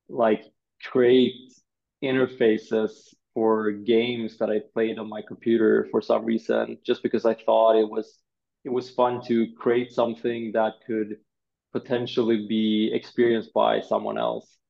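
A Swedish man in his thirties, a designer answering interview questions in a thoughtful, halting way, often repeating words. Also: fairly low pitch, vocal fry, muffled recording. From Sweden.

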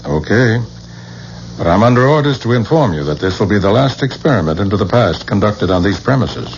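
A wary, officious museum official in a 1970s radio drama. He speaks formally in a fairly low voice with lively inflection, and the sound is muffled. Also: brisk, slight background noise. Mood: wary, curious.

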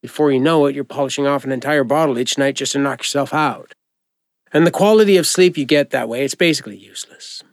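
A man playing a friendly, informal doctor who gently encourages and reassures a patient in an audio drama. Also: mid-range voice, fast talker, no echo, studio quality, natural voice. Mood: concerned. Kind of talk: naturalistic audio drama acting.

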